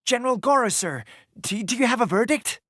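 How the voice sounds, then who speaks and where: An actor playing a respectful, eager soldier in a video game, earnest and deferential toward superiors. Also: male voice, very high-pitched, expressive, fairly quick, a bit bright, no echo, clean studio sound, exaggerated voice. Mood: anxious, respectful. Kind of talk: English video game voice acting.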